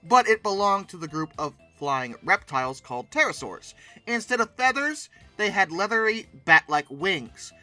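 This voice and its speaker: A man's voice: high voice, sing-song, moderate pace, sharp tone, soft background music. A man giving casual commentary and reading text aloud, breaking in with sarcastic, exclamatory asides. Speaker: host commentating on old dinosaur art.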